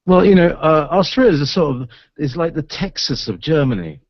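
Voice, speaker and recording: A man's voice: a little high, expressive, no echo, highs cut off. Rambling man host with many fillers. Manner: rambling, halting, lots of fillers. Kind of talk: casual chat on internet radio. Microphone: video call.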